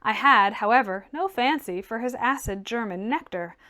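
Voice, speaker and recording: A woman's voice: a little high, lively intonation, moderate pace, bright, forceful tone. A woman reading literary fiction aloud as scripted audiobook narration. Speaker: audiobook reader.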